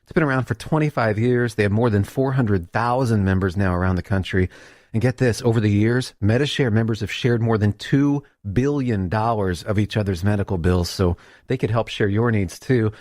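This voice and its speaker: A man's voice: fairly low pitch, moderate pace, darkish tone, no echo, clean studio sound. A man delivering a scripted radio advertisement in a conversational, direct-address style. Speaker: advertisement announcer.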